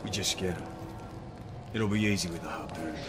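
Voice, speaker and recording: An actor playing a hardened, clipped road warrior of few words, in a video game cutscene. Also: male voice, low-pitched, fairly quick, very noisy, lightly acted. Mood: stern. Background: loud game score music.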